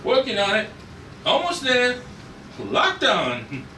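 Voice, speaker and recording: A man's voice: very high voice, huge pitch swings, slow pace, exaggerated voice. A man playing an eager, obedient young space-ranger co-pilot in a table read of a retro sci-fi serial. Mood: eager, tense. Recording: roomy, distant mic with loud noise.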